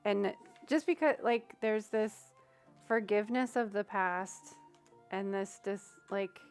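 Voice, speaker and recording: Rambling soft-spoken woman streamer. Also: medium pitch, fairly even intonation, slow pace, smooth, soft background music. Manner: rambling, soft-spoken, thinking aloud with many fillers. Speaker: streamer giving tarot readings. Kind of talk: casual livestream chat with viewers.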